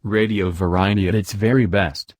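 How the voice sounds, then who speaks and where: A man's voice: fairly low pitch, steady tone, moderate pace. A man reading scripted narration about a radio programme. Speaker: narrator introducing archive radio show.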